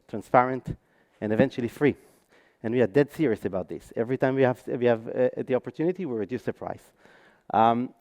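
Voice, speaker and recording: Israeli guy giving a conference talk, mid pitch, lots of ums and restarts. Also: a little mellow. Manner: hesitant, frequent ums and restarts. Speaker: conference speaker. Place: conference stage.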